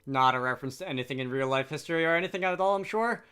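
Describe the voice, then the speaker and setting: A man's voice: fairly high pitch, racing, pushed and bright, clear, resonant tone. A man doing casual let's play commentary with wry, rambling asides. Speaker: video game let's player. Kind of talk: casual gameplay commentary mixed with reading dialogue aloud. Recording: game music behind. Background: video game music.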